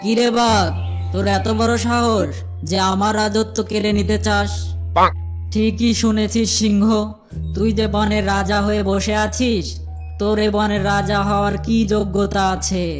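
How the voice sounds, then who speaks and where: Guy doing a grumpy boastful tiger or lion for a kids cartoon, flat delivery, music behind. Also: sits high, unhurried. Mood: angry, challenging. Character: proud, grumbling, hot-tempered male big cat, tiger or lion. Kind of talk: children's cartoon voice acting.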